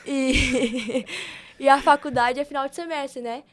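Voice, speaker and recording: High-voiced young Brazilian woman, chatty and emphatic. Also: slow. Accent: Brazilian Portuguese. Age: young adult. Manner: animated, slangy, emphatic with repetition. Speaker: podcast guest. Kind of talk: casual, chatty interview answers.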